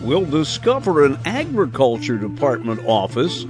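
A man's voice: mid-range voice, big pitch swings, moderate pace, music in the background. An American man hosting a radio feature, narrating conversationally and explaining things in a folksy way, straight to the listener. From small-town United States. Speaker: radio host and journalist.

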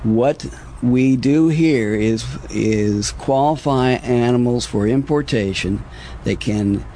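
An American man, a government official giving formal interview answers in measured, deliberate, bureaucratic phrases, on a noisy recording. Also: medium pitch, mellow.

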